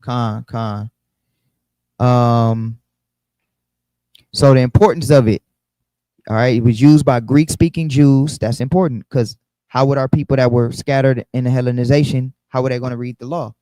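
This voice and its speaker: A man's voice: mid-range voice, fairly even intonation, moderate pace, long pauses, dark tone, no room sound, low-quality recording. A man teaching a casual bible study, often checking in with the listeners by asking "right?".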